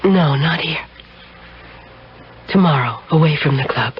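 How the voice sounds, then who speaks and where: Worldly seductress, arch and dry, teasing, 1970s radio drama, dull noisy recording. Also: female voice, low-pitched, measured pace. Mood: conspiratorial. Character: worldly, sardonic married American woman, seductive and guarded.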